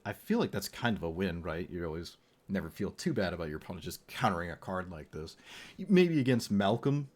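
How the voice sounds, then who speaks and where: A man giving rambling, opinionated, casual game commentary as a streamer, his voice a little worn from talking. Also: sits low. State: voice getting tired, losing it. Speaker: streamer reviewing card game decks.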